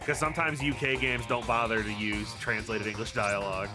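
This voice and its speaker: A man's voice: lowish voice, fairly even intonation, a little fast, loud music behind the voice. A man hosting a game livestream, chatting casually in a rambling, joking, sweary way. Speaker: game streamer, host.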